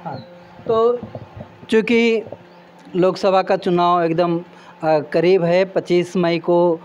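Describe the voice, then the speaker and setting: High-voiced man hosting a village interview. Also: steady tone, slow, a little mellow, dull audio. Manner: polite, prompting guests one by one. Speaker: reporter and host. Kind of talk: local election street interview. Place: outdoors in a village.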